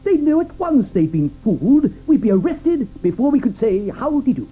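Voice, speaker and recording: An actor playing an eccentric, excitable male chocolatier inventor, stammering and flustered with many "uh"s, in a 1980s studio radio play. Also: very high voice, normal speed, slight background noise, low-quality recording. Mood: fussy.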